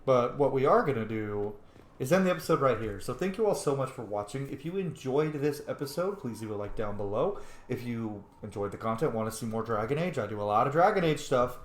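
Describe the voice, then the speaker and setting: Male let's play host, casual and sweary, very lively delivery, roomy recording. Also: medium pitch, a little fast. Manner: excitable, informal, swears freely. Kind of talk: casual gameplay commentary. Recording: roomy echo.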